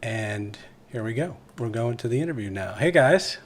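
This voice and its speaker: Casual male podcast host, mid-pitched voice. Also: lively intonation, moderate pace. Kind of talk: casual live interview chat with ad reads.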